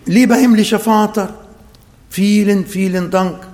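A man with an Arabic accent preaching a sermon and praying, slow and emphatic, repeating phrases to his congregation. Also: high-pitched, fairly even intonation, a little mellow, in a large hall. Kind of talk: sermon with prayer. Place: church hall, livestreamed service.

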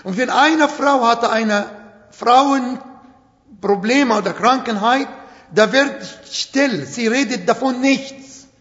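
Prediger mit arabischem Akzent, hohe Stimme, langsam im Hall. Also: male voice, extremely high pitch, fairly bright tone. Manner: slow, emphatic, non-native German phrasing. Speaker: preacher. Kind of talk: sermon. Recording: echoey hall, dull sound.

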